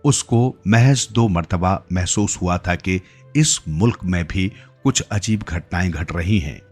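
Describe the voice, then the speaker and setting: A man's voice: sits low, measured pace, a little mellow, faint music behind. A man narrating a scripted literary story as a storyteller.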